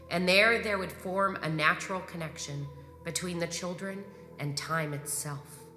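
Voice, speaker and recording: An actress playing a composed, commanding imperial grand marshal in a sci-fi audio drama, measured and probing. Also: female voice, fairly low pitch, pushed and bright. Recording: echoey hall reverb, music underneath.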